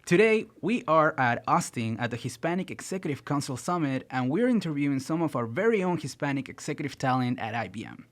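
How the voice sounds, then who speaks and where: A man's voice: a little high, sing-song, a little fast, few pauses. A man interviewing guests on site at a summit, upbeat with brief reactions.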